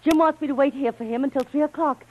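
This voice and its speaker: A woman's voice: high voice, quick pace, close to an everyday voice. A woman playing a plucky, indignant heroine in a radio adventure serial, with dramatic, earnest delivery. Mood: brave, earnest. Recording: dull lo-fi old radio recording.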